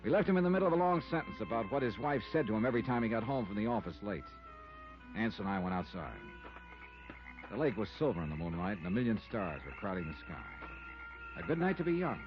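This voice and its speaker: An actor playing a dogged, weary but wry investigator in a 1950s radio detective drama, with clipped, punchy phrasing. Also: male voice, lowish voice, big pitch swings, quick pace. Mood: weary narration. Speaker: lead actor and narrator. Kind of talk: 1950s radio detective acting and first-person narration. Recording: muffled vintage radio recording, music underneath.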